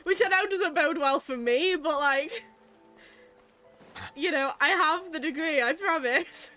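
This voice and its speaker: A woman's voice: high voice, a little slow, pushed and bright, soft background music. A woman with a British accent giving casual, chatty, wry commentary while playing a game. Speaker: psychologist streaming a game.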